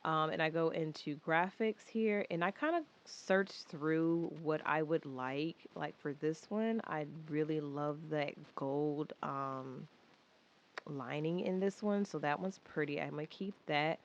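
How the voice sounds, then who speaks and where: A woman's voice: low voice, measured pace, highs cut off. Congested woman in a chatty craft tutorial. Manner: chatty and relaxed, with many filler words. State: congested from a cold. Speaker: small craft business owner teaching a tutorial. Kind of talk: casual screen-recorded how-to walkthrough.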